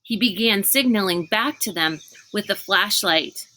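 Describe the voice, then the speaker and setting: Slow, bright-voiced woman reading aloud. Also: medium pitch. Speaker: read-aloud reader. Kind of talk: expressive children's book narration.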